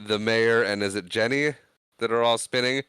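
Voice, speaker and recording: A man's voice: medium pitch, fairly even intonation, moderate pace, fairly bright tone, clean tone, no echo, clean studio sound. A man co-hosting a podcast, retelling film scenes in casual chat, acting out the characters' quoted lines. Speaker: podcast co-host.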